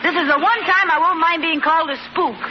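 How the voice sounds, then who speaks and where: An actress playing an eager, headstrong, scheming housewife in an old radio sitcom. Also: female voice, very high-pitched, fast. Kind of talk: 1940s-50s radio sitcom acting. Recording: dull, noisy radio recording with audience.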